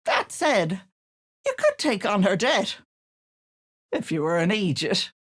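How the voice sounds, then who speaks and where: A woman's voice: medium pitch, wildly swooping pitch, slow pace, slightly rough, no echo, studio quality, full character voice. A woman voicing a sly, syrupy older auntie witch in a video game, cooing endearments with an edge of menace. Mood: sugary, scheming. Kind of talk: video game character voice lines.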